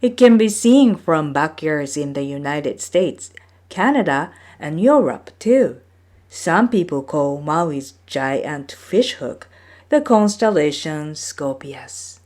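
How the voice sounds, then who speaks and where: A woman reading from a folktale book as a storyteller, with measured, deliberate phrasing. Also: sits low, lively intonation, darkish tone. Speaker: storyteller, reader. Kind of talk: scripted narration of a folktale book.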